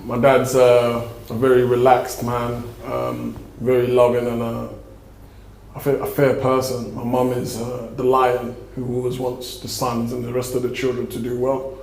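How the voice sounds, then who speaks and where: Casting note: young South London man, gravelly and monotone, loose rambling talk, roomy echo. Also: mid-range voice, measured pace. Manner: laid-back, rambling answers. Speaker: guest. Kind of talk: casual interview. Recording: echoey room with faint noise.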